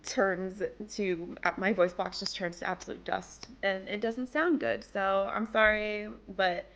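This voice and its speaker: A woman's voice: medium pitch, steady tone, unhurried, a bit bright. A young woman with a slightly worn-out voice, chatting casually and rambling a little as she narrates her build. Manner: chatty, rambling, self-deprecating. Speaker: game build creator. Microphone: desk microphone.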